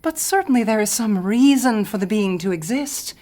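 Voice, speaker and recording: A woman's voice: medium pitch, animated, moderate pace, dark tone, lightly acted. An actress playing a rational, skeptical intellectual woman, wry and articulate, in a radio horror drama. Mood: skeptical, curious.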